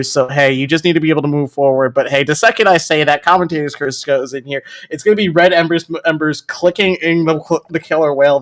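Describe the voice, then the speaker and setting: A man commentating a live esports match, excitable and hoarse, stumbling over his words and leaning on "here". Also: on the high side. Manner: excitable, stumbling restarts, pet phrase "here". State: losing his voice.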